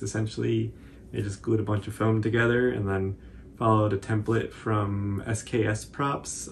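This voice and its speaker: Young man co-hosting a how-to, lowish voice with vocal fry, easygoing hesitant wording, slight background noise. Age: young adult. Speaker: cosplay tutorial co-host. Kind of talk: casual explanatory chat. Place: small furnished room indoors, on a couch.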